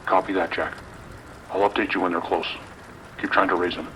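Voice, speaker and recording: Deep-voiced, commanding police lieutenant. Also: male voice, flat delivery, a little fast, sharp tone, a little hiss or hum, rough recording. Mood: firm. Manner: terse, commanding. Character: firm, no-nonsense male police lieutenant on radio. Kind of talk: audio drama acting.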